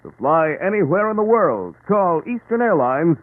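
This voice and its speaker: A man's voice: high-pitched, sing-song, normal speed, dry recording. A man reading an old radio advertisement in a slogan-like announcer delivery. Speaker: commercial announcer. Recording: dry, dull old radio recording.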